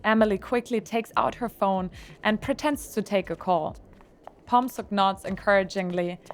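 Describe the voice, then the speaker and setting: A woman reading the narration of an audio drama in a calm, even way. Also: mid-range voice, moderate pace, faint music behind.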